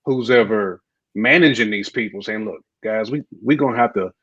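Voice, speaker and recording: A man's voice: lowish voice, normal speed, a bit bright, husky, dry recording. A middle-aged man with an American accent in casual panel chat, repeating his phrases for emphasis, on lo-fi audio. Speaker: podcast panelist. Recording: dull lo-fi dry audio.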